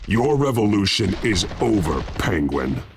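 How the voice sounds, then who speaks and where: A man's voice: fairly low pitch, sing-song, a little slow, vocal fry, noisy background, full character voice. A man playing a stern, controlled masked vigilante in a video game, speaking in terse commands. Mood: grim, stern.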